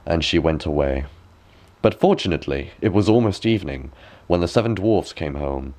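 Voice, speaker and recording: A man's voice: sits low, lively intonation, normal speed, darkish tone. A man reading a fairy tale as a bedtime story, with dramatic, careful phrasing.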